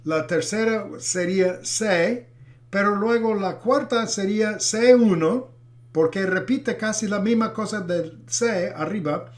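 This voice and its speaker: A middle-aged man, a pastor who is not a native Spanish speaker, teaching a Bible class with many pauses, fillers and restarts. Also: high-pitched.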